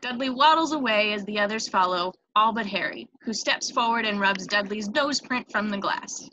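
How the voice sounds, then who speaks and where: A woman's voice: medium pitch, normal speed, sharp tone, dull audio. A woman reading screenplay stage directions as narrator, with small stumbles, on a noisy home recording with clicks.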